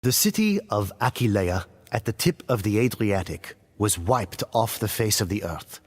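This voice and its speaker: Man acting a grave, low-voiced storyteller over music. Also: animated, lightly acted. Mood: grave, dramatic. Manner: solemn, theatrical, deliberate. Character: grave male storyteller recounting ancient wars. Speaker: game cutscene narrator. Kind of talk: dramatic scripted storytelling narration.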